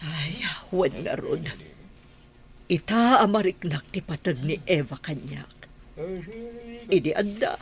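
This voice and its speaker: A woman playing an ailing older village woman, plaintive and talkative, with a husky voice in a radio drama. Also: deep voice, animated, slow pace, long pauses. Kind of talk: Ilocano radio drama acting.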